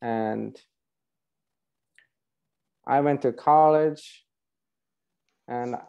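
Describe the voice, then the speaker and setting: Slow, gravelly-voiced man. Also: medium pitch, mellow. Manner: halting, searching for words. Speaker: painter, interview guest. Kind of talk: casual personal recollection. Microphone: video call. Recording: slightly dull video call audio.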